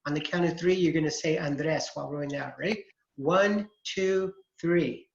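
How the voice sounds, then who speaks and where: A man in his forties, a college accounting instructor, introducing himself to his class in a relaxed, chatty way over a video call. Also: on the high side, normal speed, vocal fry. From California, USA. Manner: relaxed and chatty, with frequent fillers. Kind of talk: casual online class introduction. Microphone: computer mic on a video call. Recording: echoey, dull video-call audio.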